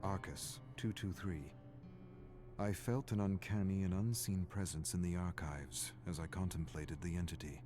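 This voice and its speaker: Man acting deep-voiced, brooding scholarly archivist. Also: steady tone, lightly acted. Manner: grave, deliberate, brooding. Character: weary, morbidly fascinated scholarly male archivist keeping dark records. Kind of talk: dramatic scripted game narration. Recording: clear music behind the voice.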